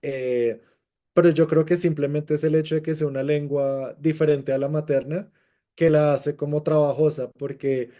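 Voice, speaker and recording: Dark-voiced male podcast host. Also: on the high side, fairly even intonation, moderate pace. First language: Spanish. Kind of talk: casual podcast chat.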